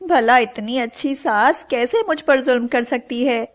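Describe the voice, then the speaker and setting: A woman's voice: fairly high pitch, measured pace, lightly acted. A woman playing a meek, long-suffering young daughter-in-law, plaintive, in a melodramatic cartoon moral tale. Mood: earnest, placating. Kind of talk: melodramatic moral story voice acting.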